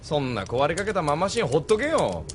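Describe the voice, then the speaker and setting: A high, swooping cocky young thug. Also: male voice, big pitch swings, brisk, a little hiss or hum. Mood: offhand, cocky. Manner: coarse, slangy delinquent speech. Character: cocky young male pilot thug, hot-tempered. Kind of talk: Japanese audio drama acting. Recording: music behind the voice.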